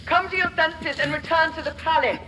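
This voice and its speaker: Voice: very high-pitched, brisk, background noise. An actor playing an urgent, authoritative member of a knightly order in a video game. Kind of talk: cinematic video game acting.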